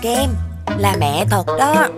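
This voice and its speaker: A woman's voice: mid-range voice, sing-song, slow, over loud music. Woman as an earnest little cartoon robot friend. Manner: earnest, advising. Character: caring, sensible small green robot friend. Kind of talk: animated children's cartoon dubbing.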